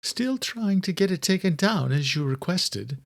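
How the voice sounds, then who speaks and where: A scheming, outwardly submissive male courtier, as a male narrator acts him in an audiobook. The voice is pitched up a little and speaks with careful deference. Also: expressive, measured pace, vocal fry, full character voice. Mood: probing.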